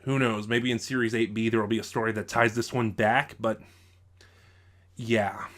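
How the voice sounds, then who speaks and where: A man's voice: fairly low pitch, flat delivery, normal speed, a bit bright. A man reviewing audio dramas in unscripted commentary, thinking aloud and often rephrasing himself mid-sentence.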